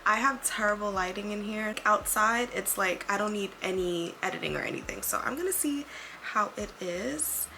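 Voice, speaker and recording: Raspy young woman vlogging to camera. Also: mid-range voice, expressive, moderate pace. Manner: chatty, self-deprecating, thinking aloud. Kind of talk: casual vlog talk to camera. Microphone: handheld pocket camera mic. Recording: noisy handheld camera audio.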